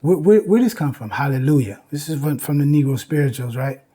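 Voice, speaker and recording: Husky American man in his fifties. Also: a little high, animated, a little fast, dark tone. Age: 50s. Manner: emphatic, repeats phrases for emphasis. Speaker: video essay host. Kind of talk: informal educational commentary.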